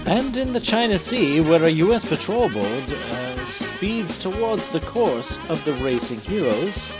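A man reads comic-book narration as a podcast host, slipping into joking banter. His pitch sits fairly high and moves around a lot, with music playing behind him. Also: sing-song, unhurried. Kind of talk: dramatic reading with joking banter.

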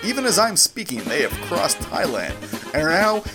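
Comic-book newscaster, man acting, lively bulletin delivery, music bed. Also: high voice, moderate pace, full character voice. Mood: brisk, official. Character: news reporter covering a superhero race. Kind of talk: comic-book dramatic reading of a news bulletin.

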